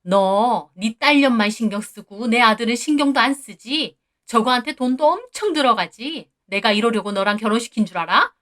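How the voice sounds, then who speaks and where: A loud, high-pitched older mother-in-law, put on by a woman narrator, hurls coarse insults in a shrill, scolding voice. She shouts and berates without letting up, as in a dramatized storybook reading. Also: normal speed, lightly acted.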